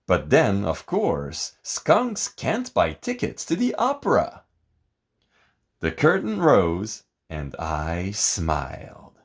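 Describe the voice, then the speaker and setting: A man reading a picture book aloud in a theatrical, expressive and deliberate way, as scripted narration. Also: fairly low pitch, husky. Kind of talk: dramatic scripted storybook narration.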